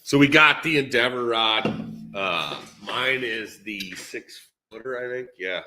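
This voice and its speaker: A man hosting a podcast, talking casual shop in a laid-back, halting way, thinking aloud as he goes. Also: mid-range voice, bright, forceful tone, slight background noise. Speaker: podcast host. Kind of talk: casual shop talk on a livestream.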